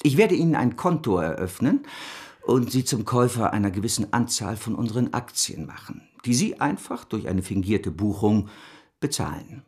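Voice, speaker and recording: An actor playing a vain, scheming French banker of about fifty in a German radio drama, slightly husky, brisk and smooth-talking. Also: male voice, lowish voice, big pitch swings, darkish tone, full character voice. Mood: confidential.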